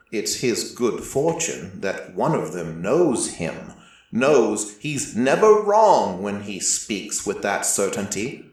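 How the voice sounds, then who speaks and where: A man playing an ancient, merciless deity who narrates a story in a measured, solemn way with deliberate pauses. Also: medium pitch. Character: ancient merciless deity, male-voiced, reflective and quietly fond. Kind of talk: scripted first-person dramatic narration. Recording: echoey room, slightly distant from mic.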